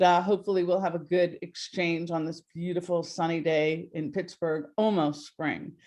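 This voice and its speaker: A woman hosting a live webinar over a video call, talking in a warm, rambling way with many fillers. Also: medium pitch. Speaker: host.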